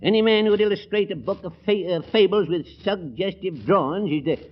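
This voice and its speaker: An actor playing a crusty, excitable small-town judge in a 1940s radio comedy, folksy and blustering, tripping over his words. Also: sits high, brisk. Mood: exasperated scolding. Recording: dull lo-fi 1940s radio recording.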